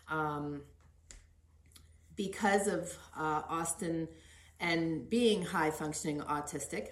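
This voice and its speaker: A woman hosting an informal podcast interview, gently prompting and encouraging. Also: deep voice. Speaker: podcast host. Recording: roomy echo.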